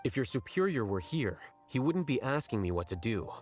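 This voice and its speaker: A man playing an aloof, blunt scholar-official in a video game, speaking in a dry, measured deadpan. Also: medium pitch, faint music behind, natural voice. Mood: coolly reproving.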